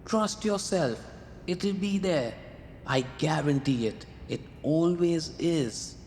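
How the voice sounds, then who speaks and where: A man playing a glib, lively muse. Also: on the high side, slow pace, slight background noise. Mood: breezy. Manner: glib, airy, teasing. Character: male muse, breezy cliché-spouting inspiration. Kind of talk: radio drama acting. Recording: reverberant room with music behind.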